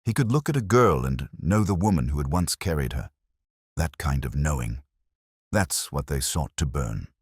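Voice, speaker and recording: A man voicing a brooding, cerebral gentleman detective in an audiobook, grave and deliberate. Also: extremely low pitch, lively intonation, slightly rough, no room sound, studio quality, exaggerated voice. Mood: grave, pensive. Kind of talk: audiobook character reading.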